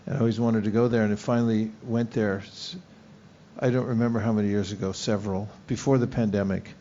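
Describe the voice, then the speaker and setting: An older man chats with young retreat members, firing off quick, curious questions. The recording is dull and lo-fi. A faint hiss sits underneath. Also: lowish voice, steady tone, warm tone. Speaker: retreat leader interviewing youth. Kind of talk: casual conversational interview.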